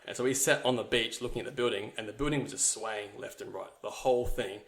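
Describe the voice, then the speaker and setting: A man's voice: medium pitch, fairly even intonation, fast, fairly bright tone. Rambling man telling his life story. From New Zealand. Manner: rambling, with many fillers and restarts. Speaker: podcast guest. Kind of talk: casual storytelling chat.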